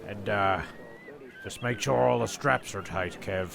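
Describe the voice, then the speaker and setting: A man's voice: lowish voice, a little slow, gravelly, a crowd in the background. A man narrating a comic audio play and speaking to its characters.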